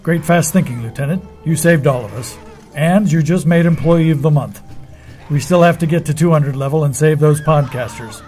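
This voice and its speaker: An actor playing a dim, genial leader of a security team in a comic horror audio drama. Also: male voice, fairly high pitch, normal speed, a little mellow, soft background music, close to an everyday voice. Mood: deadpan.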